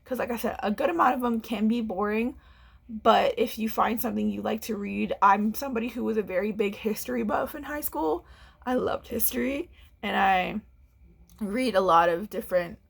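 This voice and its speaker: A woman's voice: mid-range voice, normal speed, creaky, slightly rough. A young woman, a book vlogger chatting casually at home, a little congested, rambling and restarting as she talks. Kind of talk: casual chat to camera. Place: home room.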